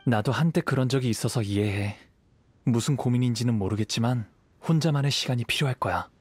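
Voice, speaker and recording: Man acting a calm, husky young swordsman. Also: medium pitch, a little fast, no echo, natural voice. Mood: reflective. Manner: composed, gentle, reflective. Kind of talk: video game voice acting.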